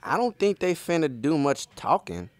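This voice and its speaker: A man's voice: fairly high pitch, very animated, moderate pace, dry recording. An actor playing a simple, hesitant townsman in an audio play, with a Southern US accent. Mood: plain, wary. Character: simple, folksy male townsman bringing the deputy bad news.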